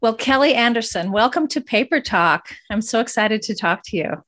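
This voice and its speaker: A woman's voice: a little high, lively intonation, moderate pace, noisy background. A woman from Texas hosting an interview podcast, reading a scripted intro and then chatting with a guest. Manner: reads intro evenly, then chatty and laughing. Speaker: podcast host.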